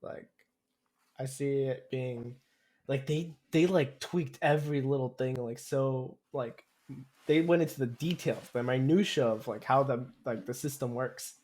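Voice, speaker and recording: A man's voice: medium pitch, measured pace, darkish tone, a little hiss or hum. A man co-hosting a podcast in casual chat, rambling hesitantly and often repeating his phrases. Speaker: podcast co-host.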